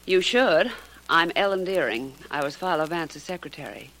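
An actress playing a bold, shrewd secretary in a 1940s radio detective drama. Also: female voice, sits low, animated, normal speed, a bit bright, low-quality recording. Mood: cool, taunting. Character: bold, shrewd female secretary posing as blackmailer. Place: radio studio.

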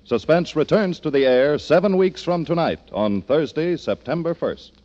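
A man's voice: fairly high pitch, lively intonation, normal speed, darkish tone. A man announcing a program in a dramatic 1940s radio style. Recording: dull old radio broadcast with music.

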